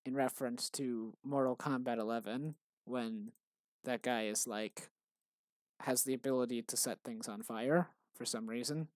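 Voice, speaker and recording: A tired man rambles in a monotonous, deadpan way while he works on his game. His voice is mid-pitched and dry. He sits close to a desktop mic. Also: unhurried, no echo. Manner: tired, low-energy. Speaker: game developer vlogger. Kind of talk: casual rambling commentary while working.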